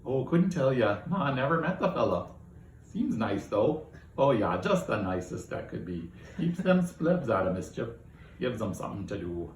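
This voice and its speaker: A man's voice: mid-range voice, sing-song, normal speed, distant mic, lightly acted. A chatty old alien gossip woman rambles in a folksy way, as a man puts her on. She keeps calling people dearie. The sound is roomy, with faint noise behind. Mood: gossipy.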